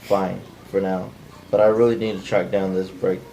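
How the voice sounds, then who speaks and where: An amateur man reading a young utility worker, courteous but pushy. The voice is low and mellow with very little inflection, recorded with some room noise in the background. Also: level tone, moderate pace, vocal fry, rough recording. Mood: insistent. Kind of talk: flat cold read of a script by a non-actor.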